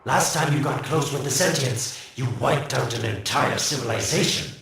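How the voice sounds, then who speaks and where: A man's voice: medium pitch, normal speed, creaky, fairly bright tone. An actor playing a sly, scheming man in a video game, teasing and sarcastic with chuckles between lines. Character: sly scheming male inventor, mocking and smug. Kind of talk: video game cutscene voice acting. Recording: very echoey, distant, faint noise.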